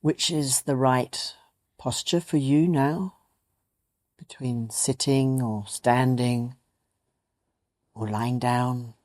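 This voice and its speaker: A meditation teacher gently leading a guided meditation in a soothing, unhurried way with long pauses. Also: medium pitch, steady tone. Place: meditation retreat.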